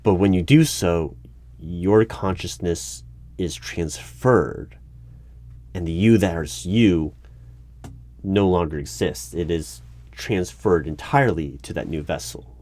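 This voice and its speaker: A man playing a methodical, puzzled hiring manager in a one-sided interview roleplay, halting as he asks careful clarifying questions. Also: sits low, darkish tone. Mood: probing. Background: computer keyboard typing and clicks.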